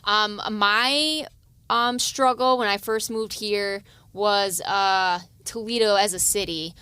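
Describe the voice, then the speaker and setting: A woman in her thirties, a police officer from West Virginia, talks in a light, youthful voice with a bright, smooth ring. She speaks softly, with many fillers, and is recorded close and dry. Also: medium pitch, takes it slowly, no echo. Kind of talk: casual interview.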